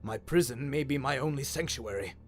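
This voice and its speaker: A man voicing a frail, sad old inventor who speaks slowly and gravely, in a musing, resigned way, for an audio story. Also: medium pitch, soft background music, close to an everyday voice.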